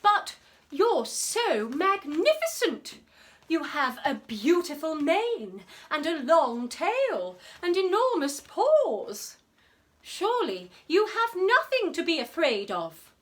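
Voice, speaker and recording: A woman's voice: very high voice, very animated, slow, full character voice. A woman voicing a frightened female elephant in a storybook tale. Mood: admiring.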